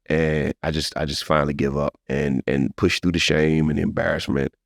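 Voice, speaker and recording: Deep-voiced American man, heartfelt podcast host. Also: moderate pace, vocal fry, no echo, clean studio sound. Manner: animated storyteller, slips into encouraging preacher-like address. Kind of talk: casual, heartfelt testimony and conversation.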